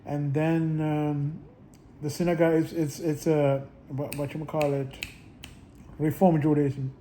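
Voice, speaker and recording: A man vlogging in a casual, rambling monologue, halting often with restarts and little chuckles. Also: fairly high pitch, little pitch movement, mellow, a little hiss or hum.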